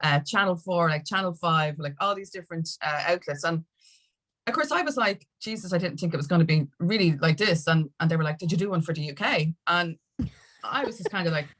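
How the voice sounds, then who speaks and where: A woman's voice: fairly low pitch, lively intonation, fairly quick, a bit bright. An Irish woman telling her story as a podcast guest in casual conversation, acting out what people said as she goes. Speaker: podcast guest, music industry activist.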